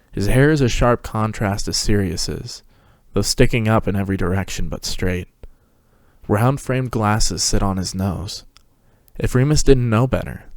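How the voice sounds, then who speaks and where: A man's voice: on the low side, darkish tone, dry recording. A man narrating a scripted story as a podcast host, reading it dramatically.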